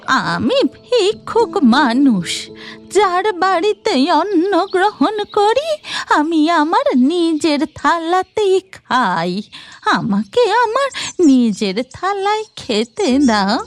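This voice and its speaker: Cartoon folktale old beggar granny: drawn-out, deliberate delivery, swooping pitch, music underneath. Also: female voice, very animated, exaggerated voice. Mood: pleading. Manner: slow, drawn-out, deliberate speech. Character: goddess disguised as hungry old beggar woman, slow, insistent.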